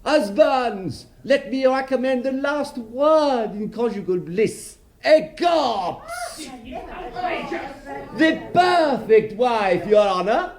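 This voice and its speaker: High-voiced, florid, gloating bourgeois villain. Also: male voice, sing-song, slow, full character voice. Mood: gloating, mocking. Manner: florid, sardonic, declamatory, gloating. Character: brazen necrophile murderer, self-righteous bourgeois man in court. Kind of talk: theatrical radio drama monologue.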